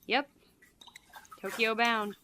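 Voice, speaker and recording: A woman's voice: on the high side, fairly even intonation, takes it slowly, pushed and bright, a little hiss or hum. An actress playing an anxious, guilt-ridden wife who killed her husband, in a horror audio drama. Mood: quiet conspiring.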